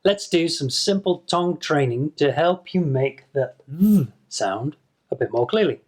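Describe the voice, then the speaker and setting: Slow, patient male English teacher. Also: a little high, lively intonation, darkish tone. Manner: patient, demonstrates sounds slowly and repeats them. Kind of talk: slow explanatory video lesson to camera.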